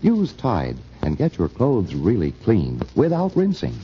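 A man announcing a scripted vintage radio commercial with an emphatic sales pitch. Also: wildly swooping pitch, unhurried, over loud music. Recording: muffled old radio recording, faint hiss.

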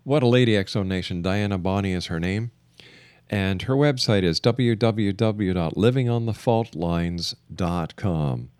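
A man hosting a talk radio interview, speaking in an emphatic, deliberate way and repeating phrases for emphasis. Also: low voice, darkish tone, clean tone, dry recording, studio quality. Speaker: radio show host.

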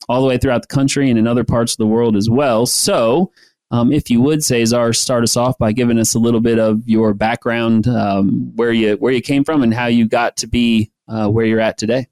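A man's voice: mid-range voice, fairly even intonation, moderate pace, darkish tone, dry recording. A man hosts a podcast interview in a mid-range voice. His questions are loose and rambling, with repeated words. The recording is dry and close. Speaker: podcast host. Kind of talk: casual interview hosting.

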